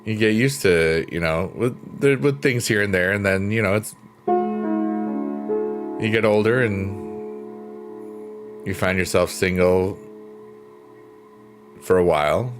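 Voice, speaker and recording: A middle-aged man with a deep bass voice, hosting a livestream and chatting casually with viewers in a laid-back, openly reflective way. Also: slow pace, long pauses. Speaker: livestream host. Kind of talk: casual chat with live chat readers. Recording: music playing under voice. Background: piano music.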